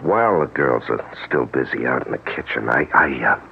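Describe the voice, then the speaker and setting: A deep, gravelly, halting friend. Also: male voice, animated, soft background music, heavily acted. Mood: hesitant. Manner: hesitant, halting, well-meaning. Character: concerned, hesitant friend, grown man. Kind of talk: 1970s radio drama acting. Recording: tinny lo-fi old radio broadcast.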